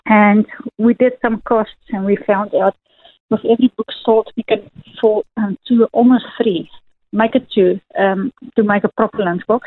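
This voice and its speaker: A woman's voice: mid-range voice, fairly even intonation, moderate pace, no room sound. A woman from South Africa whose first language is Afrikaans, speaking earnestly as a guest author with many fillers. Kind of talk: phone interview. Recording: tinny phone line, dry.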